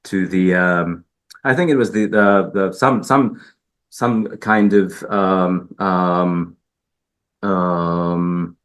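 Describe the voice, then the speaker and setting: A man's voice: sits low, very slow, a bit bright. A man from Hungary, a linguist answering interview questions, talking hesitantly with many fillers and restarts. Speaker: linguist guest. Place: research institute building.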